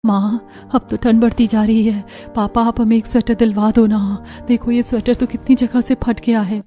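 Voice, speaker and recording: A woman's voice: on the high side, level tone, normal speed, mellow, faint music behind, close to an everyday voice. A woman playing an earnest young village daughter, innocent and pleading, in a moral story.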